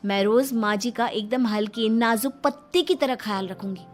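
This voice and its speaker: A breezy young cartoon daughter-in-law , played by a woman, pitched a little high. She is sweet and teasing, with a stubborn streak under the playfulness. Music runs underneath her. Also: normal speed, close to an everyday voice. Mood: breezy, placating. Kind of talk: cartoon voice acting in Hindi family story. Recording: music behind the voice.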